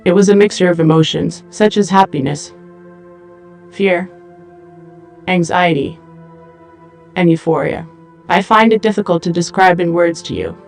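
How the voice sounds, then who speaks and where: A woman playing a seductive, matter-of-fact cyborg dancer in an English-language audio drama, with flat, deliberate, formal phrasing. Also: fairly low pitch, creaky, faint music behind. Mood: detached, matter-of-fact.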